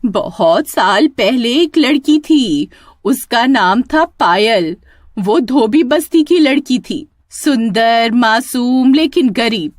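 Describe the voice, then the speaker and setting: Woman storyteller doing horror narration, very expressive pitch, close dry recording. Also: slow pace, no room sound.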